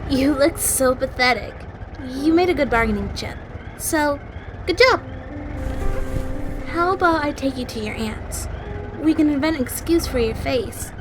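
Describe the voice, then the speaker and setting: A woman's voice: high-pitched, lively intonation, measured pace, long pauses, over music, low-quality recording. An actress playing a plucky, chatty young girl in an audio drama, breezy, wry and teasing. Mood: wry, coaxing.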